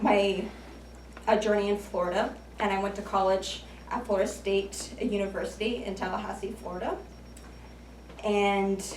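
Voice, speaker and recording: A woman's voice: mid-range voice, slight background noise. A woman in her late twenties giving a prepared, self-deprecating speech with light jokes at a speaking club. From United States. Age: 20s. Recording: echoey room from far off.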